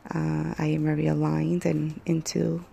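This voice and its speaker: Hoarse, gravelly woman on a phone mic. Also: sits low, monotone, measured pace, dark tone, slight background noise. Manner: rambling, hesitant, many fillers. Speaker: podcast host. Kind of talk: casual solo podcast chat.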